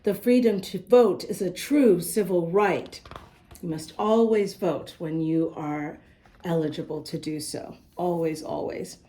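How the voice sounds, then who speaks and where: A woman's voice: fairly low pitch, measured pace, warm tone. A woman reading a rhyming picture book aloud as host, speaking directly to young listeners. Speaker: children's book reader and host.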